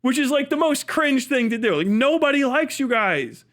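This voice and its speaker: High-pitched, blunt, profane American man ranting. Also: very animated, moderate pace, a bit bright. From United States. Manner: emphatic, acts out mock dialogue. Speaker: livestream commentator. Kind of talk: unscripted livestream rant.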